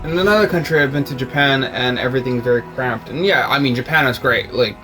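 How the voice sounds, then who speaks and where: A man who grew up in Russia, co-hosting a casual video chat in a relaxed, reflective way. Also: mid-range voice, fast, over music. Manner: relaxed, reflective, talks about faith. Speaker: co-host.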